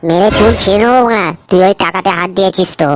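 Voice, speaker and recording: A woman's voice: mid-range voice, very fast, lightly acted. A woman voicing a skeptical, greedy young male friend in a comic cartoon, crude and combative, with a West Bengal accent. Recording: clearly noisy background.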